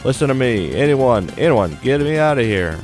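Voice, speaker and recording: A man's voice: medium pitch, big pitch swings, moderate pace, a little mellow, faint music behind, voice pushed up, natural voice. A man voicing a trapped rookie mech pilot, pleading urgently to be launched in a sci-fi game. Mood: desperate.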